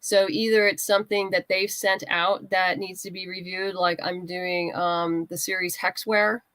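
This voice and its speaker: A woman's voice: on the low side, level tone, unhurried, fairly bright tone, no echo. Woman with a dry, close studio sound. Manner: practical, conversational advice-giving. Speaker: guest writer and comics creator. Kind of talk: casual interview chat.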